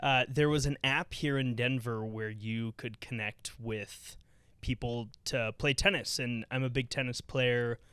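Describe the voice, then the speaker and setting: A man's voice: mid-range voice, fairly even intonation, unhurried, a bit bright, clean tone. A young man hosting a casual podcast from his couch, talking loosely with lots of fillers and restarts. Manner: chatty, rambling with many fillers. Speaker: podcast host. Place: home living room.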